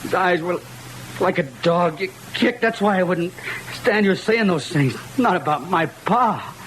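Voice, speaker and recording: An actor playing a hot-tempered, aggrieved working-class husband, agitated and pleading, in an old-time radio drama. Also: male voice, sits high, lively intonation, measured pace, fairly bright tone, slight background noise, poor audio. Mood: shaken, defensive.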